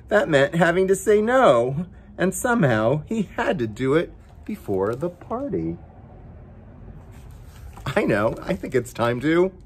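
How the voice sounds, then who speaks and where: Male children's story time reader: very animated, husky-edged, playful and chatty, speaking straight to the kids. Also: on the high side. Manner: playful, coaxing, talks directly to young viewers. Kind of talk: animated children's picture book read-aloud with chatty asides.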